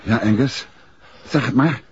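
An actor playing a decisive, commanding gentleman detective in a 1960s radio drama. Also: medium pitch, measured pace, natural voice. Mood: urgent, coaxing. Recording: dull vintage radio drama recording.

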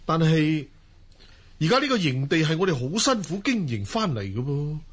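An actor playing an earnest, resolute young Mongol chieftain in a Cantonese audio drama, with a husky voice and an emphatic, dutiful manner. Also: male voice, on the high side, big pitch swings, moderate pace. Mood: troubled, decisive.

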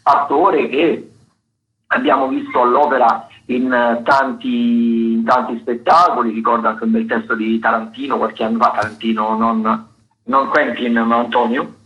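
A man's voice: medium pitch, steady tone, measured pace. A man presenting cultural listings on the radio in a spontaneous, digressive way, often searching for words. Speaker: theatre critic and agenda presenter. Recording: dull, lo-fi radio sound.

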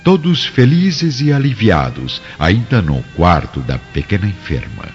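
A Brazilian man narrates a radio drama in a very deep, highly expressive voice. The recording is slightly muffled, with music underneath. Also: a little slow.

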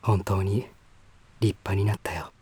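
A man acting a polite, reserved, bashful lover in a Japanese voice drama, with soft, refined, slightly formal speech. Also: low voice, steady tone, unhurried. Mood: moved, gentle.

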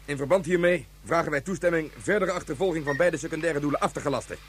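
A man's voice: on the high side, fast talker, a little hiss or hum, natural voice. A man playing a military colonel in a radio drama, clipped, formal and procedural. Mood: brisk, formal. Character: brisk, obedient male military colonel.

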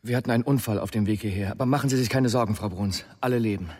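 An actor playing an anxious, courteous family friend, earnest in delivery, in a radio drama. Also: male voice, on the low side, very fast, darkish tone, lightly acted. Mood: subdued, polite. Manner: polite, earnest.

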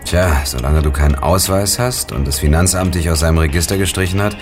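A male actor plays a level-headed young flatmate in a radio play. He has a deep voice and a dry, matter-of-fact delivery. Music plays clearly behind him. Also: a little fast, close to an everyday voice. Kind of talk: German radio play acting.

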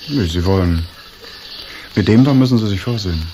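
Grumpy old attendant played by a man, lowish voice. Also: lively intonation, moderate pace, mellow, a little hiss or hum, natural voice. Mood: exasperated, wary. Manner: grumpy, exasperated. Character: grumbling, sensible old changing-room attendant. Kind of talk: radio crime drama acting.

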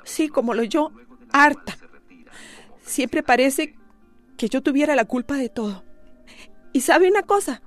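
A woman's voice: high voice, lively intonation, measured pace, long pauses, soft background music. An actress with a Costa Rican accent playing a weary wife who confronts her husband in firm, reproachful tones in a radio drama. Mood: fed up. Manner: firm, reproachful, formal address.

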